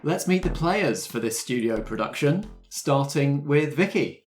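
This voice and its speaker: A man's voice: fairly high pitch, animated, unhurried, faint music behind. Man hosting and narrating a noir roleplay. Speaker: host and game master. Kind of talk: scripted announcements, noir narration and table chat.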